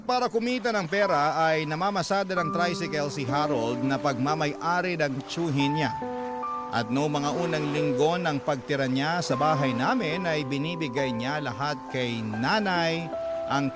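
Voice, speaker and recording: A man's voice: on the high side, measured pace. A man narrating a listener's letter in the first person as a Tagalog radio drama host. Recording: loud music bed under narration.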